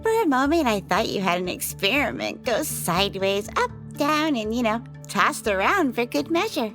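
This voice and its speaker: An actress playing a composed, cunning woman who speaks in a measured, formal way in an audio roleplay. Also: on the high side, sing-song, a bit bright, faint music behind. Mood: amused. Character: composed, cunning woman, devoted partner and schemer. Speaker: lead character in an audio drama. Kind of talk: ASMR audio roleplay acting.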